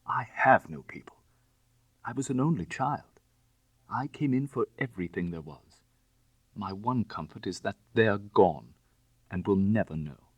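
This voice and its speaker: A man voicing a bitter, proud young gentleman who is ruined and desperate, speaking formally and emotionally in an audiobook story. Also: on the low side, animated, measured pace, pauses often, slightly rough, no echo. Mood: despairing. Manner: emotional, self-reproaching, formal.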